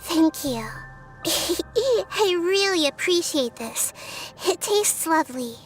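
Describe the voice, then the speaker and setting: A very high, girlish voice of a small goddess of wisdom from a video game. She speaks gently and thoughtfully, with earnest curiosity and whimsical wonder. Music plays faintly behind her. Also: expressive, takes it slowly, exaggerated voice. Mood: grateful, gentle. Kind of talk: scripted video game character voice lines.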